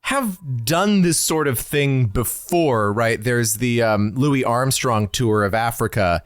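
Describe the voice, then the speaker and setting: Male podcast host, mid-pitched and lively in intonation, casual explainer delivery, very dry close sound. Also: slow pace, smooth, no echo. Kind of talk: casual podcast discussion with quoted passages read aloud.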